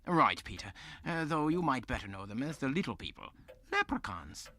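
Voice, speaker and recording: A man's voice: mid-range voice, very animated, moderate pace, pushed and bright. A man playing a genial parish storyteller, telling a fireside tale theatrically. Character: genial Irish parish storyteller, Mister Clancy.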